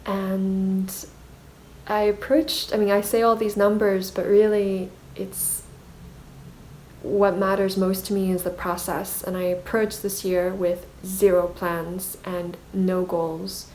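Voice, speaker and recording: A woman vlogger and native Mandarin speaker talks at an unhurried, slow pace. Her delivery is level, with little pitch movement, reflective and a little hesitant, like a personal diary entry. There is faint ambient noise behind her. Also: flat delivery, a little mellow, low-quality recording. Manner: self-conscious, hesitant. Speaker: artist vlogger.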